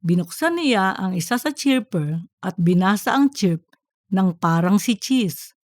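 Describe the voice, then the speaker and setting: A woman's voice: medium pitch, lively intonation, measured pace, no echo, studio quality. A woman reading scripted third-person narration for a comic fiction audiobook.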